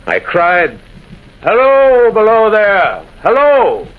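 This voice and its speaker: Brooding radio-drama loner, dull old recording. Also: male voice, sits high, wildly swooping pitch, slow pace, heavily acted. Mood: calling out. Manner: brooding, theatrical storytelling. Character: lonely, haunted male prospector narrating a ghost tale. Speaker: narrator and lead character. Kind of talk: old-time American radio drama acting.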